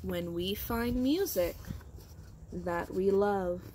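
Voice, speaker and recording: A woman's voice: medium pitch, slow pace, dark tone, slight background noise, natural voice. A woman voicing a shy, clumsy, tender-hearted male giraffe in a children's storybook. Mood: content.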